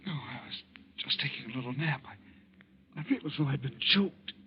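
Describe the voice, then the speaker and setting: An actor playing a dazed, confused man reviving with a sore throat, speaking haltingly in a 1940s radio drama on a dull old recording. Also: medium pitch, lively intonation, lightly acted.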